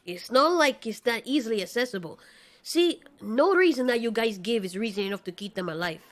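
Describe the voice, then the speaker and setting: A woman's voice: fairly high pitch, fairly bright tone. A woman playing a brash, hot-tempered male flying monster in an audio drama, sarcastic, dismissive and foul-mouthed.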